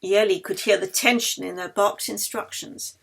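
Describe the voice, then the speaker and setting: A woman narrating a novel as its author, in an expressive, dramatic storytelling style. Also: mid-range voice, normal speed, vocal fry. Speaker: author reading her own novel.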